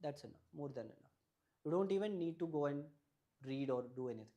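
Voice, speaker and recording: A man's voice: on the high side, animated, fast, warm tone. A young man whose first language is Malayalam, an exam topper explaining his study strategy in an interview and often checking in with "right?". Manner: advisory, often ends points with a questioning "right?". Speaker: exam topper giving advice.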